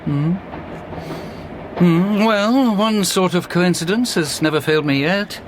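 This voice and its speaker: An actor playing a confident, opinionated Victorian police inspector in a radio drama, humming and then pronouncing firmly. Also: male voice, high voice, slow pace. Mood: opinionated, assured. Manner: hesitant hums, assertive pronouncements. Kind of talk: period radio drama acting. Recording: clear background noise.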